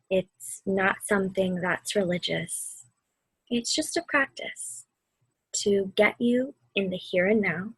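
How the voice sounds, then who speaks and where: A woman with a soft, breathy voice gently guiding children through a mindfulness meditation, soothing and deliberate. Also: mid-range voice, dry recording, poor audio. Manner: soothing, gentle, deliberate, often dropping to a near-whisper. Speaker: mindfulness instructor and host of a weekly video series.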